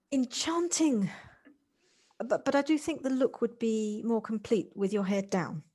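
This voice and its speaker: Casting: actress as exuberant, affectionate female friend for audio play; higher-pitched, eager, coaxing, repeats herself. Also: takes it slowly, a little mellow. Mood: warm, admiring. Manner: effusive, eager, stammering with repeated words. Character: exuberant, coaxing, affectionate woman, proud of her job. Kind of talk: amateur audio drama acting. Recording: home recording on a personal device.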